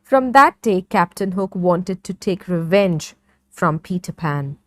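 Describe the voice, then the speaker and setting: Slow, expressive woman storyteller. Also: lowish voice, no room sound. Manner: slow, clearly phrased read-along delivery. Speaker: storyteller narrator. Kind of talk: scripted children's story narration.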